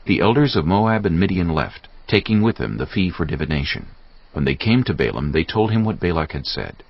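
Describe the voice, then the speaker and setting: Extremely deep, gravelly male Bible narrator. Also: sing-song, fairly quick, no room sound. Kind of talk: scripted dramatized Bible narration. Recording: slightly dull audio over soft music.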